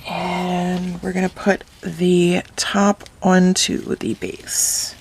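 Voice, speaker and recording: A woman talks through a craft tutorial at an unhurried, deliberate pace. Her voice sits a little low and sounds slightly hoarse from a cold, and she explains each step calmly. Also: rough recording. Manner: slow, calm, instructive.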